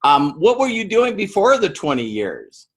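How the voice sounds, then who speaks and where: A middle-aged man hosting an interview, talking in an excited, rambling way with many fillers. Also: sits high, moderate pace, breathy edge. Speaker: host.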